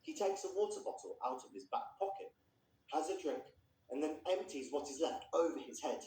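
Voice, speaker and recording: A man's voice: on the high side, expressive, brisk, a little mellow. A man presenting and reading literary fiction aloud as a storyteller. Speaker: reader introducing and reading a story. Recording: echoey room, distant microphone.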